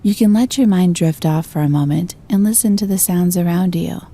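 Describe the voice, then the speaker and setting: Woman, meditation guide, soothing and gentle, warm low tone. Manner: slow, soothing, gentle instructions.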